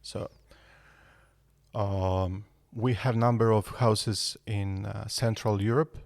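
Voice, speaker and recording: Brief: male guest in his fifties, slow and halting, gravelly low voice, dry close sound. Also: steady tone, a little mellow, dry recording. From Serbia. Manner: hesitant, frequent fillers. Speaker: guest architect. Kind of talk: podcast interview.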